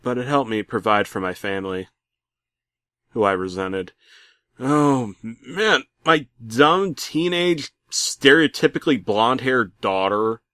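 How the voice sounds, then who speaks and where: A man playing a bitter middle-aged working dad who narrates a comic horror story with theatrical, aggrieved deadpan. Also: lowish voice, no room sound, clean studio sound, exaggerated voice. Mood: weary, resentful. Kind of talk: comedic creepypasta narration.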